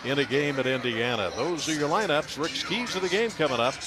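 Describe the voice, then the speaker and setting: A man's voice: fairly high pitch, lively intonation, brisk, over music. A man with an American accent doing live pregame commentary as a radio play-by-play announcer.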